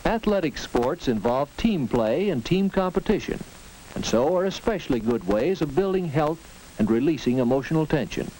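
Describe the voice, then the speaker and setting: A man's voice: a little high, moderate pace, poor audio. A man narrating a 1940s educational film, earnest and instructive, on an old soundtrack with faint hiss and clicks. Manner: earnest, instructive, lively delivery.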